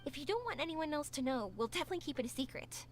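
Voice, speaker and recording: A young woman streamer reading game dialogue aloud, gentle when reading, loud in her reactions. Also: high voice, lively intonation, very fast, slight background noise.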